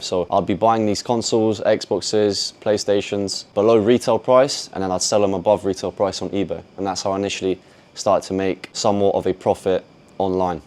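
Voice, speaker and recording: A man in his twenties, from Poland and raised in the UK, answering interview questions in a relaxed, conversational way. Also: fairly low pitch. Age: 20s. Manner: relaxed, conversational, addresses viewers directly. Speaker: podcast guest, futures trader.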